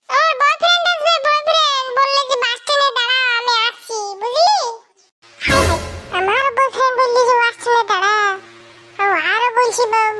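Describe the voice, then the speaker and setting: A woman playing a bossy young woman in a comedy cartoon, with a childlike squeaky voice, an exaggerated scolding manner. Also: pushed and bright, no echo. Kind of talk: Bangla comedy cartoon dubbing. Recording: faint background noise.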